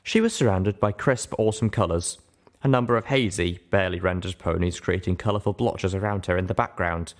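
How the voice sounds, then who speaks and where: A man's voice: low-pitched, brisk. A man reading a scripted first-person adventure story as an audiobook narrator.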